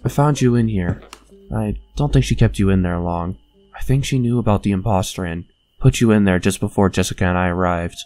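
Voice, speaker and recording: A young man playing a gentle, concerned friend in a comic dub, speaking in a hesitant, earnest way. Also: on the low side, fairly quick, faint music behind, close to an everyday voice. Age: young adult. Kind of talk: animated comic dub acting.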